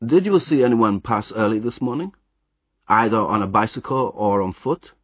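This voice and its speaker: A man voicing a suspicious, sharp-eyed heavyset German man, in a dull, dry recording. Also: on the low side, lively intonation, normal speed, no room sound. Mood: cool.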